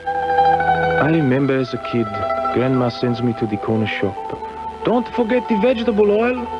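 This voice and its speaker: A man's voice: high voice, huge pitch swings, moderate pace, over loud music. A man playing a nostalgic grandson theatrically retelling a childhood errand and voicing his grandma in a radio commercial. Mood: playful nostalgia. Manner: theatrical, exaggerated. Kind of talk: character storytelling radio commercial. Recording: muffled old radio broadcast tape.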